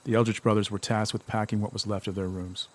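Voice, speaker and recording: A man's voice: on the low side, little pitch movement, quick pace, close to an everyday voice. A man performing as the solemn storyteller of an audio drama, reading scripted narration.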